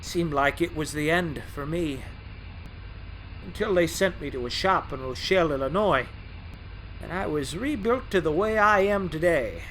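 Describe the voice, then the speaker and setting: A man's voice: a little high, lively intonation, moderate pace, fairly bright tone, a little hiss or hum, rough recording. A man voicing a boastful, charming male tank engine in an audio drama, with a jaunty, folksy manner.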